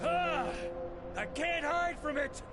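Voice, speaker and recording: An actor voicing a fighter in a video game who shouts short, fierce combat calls. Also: male voice, extremely high pitch, slow, over music. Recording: game audio over loud score.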